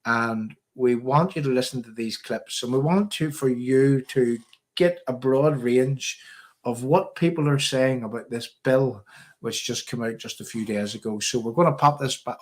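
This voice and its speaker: Male political stream host, Northern Irish, mid-pitched voice, emphatic and rambling delivery. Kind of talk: live political commentary to viewers.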